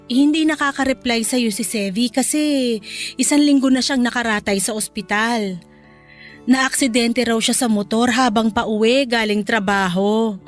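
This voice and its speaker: Protective middle-aged mother, gentle, fairly high voice, acted by a woman for radio drama over faint music. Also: fairly even intonation, a little slow, close to an everyday voice. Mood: careful, serious. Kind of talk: emotional Tagalog radio drama acting.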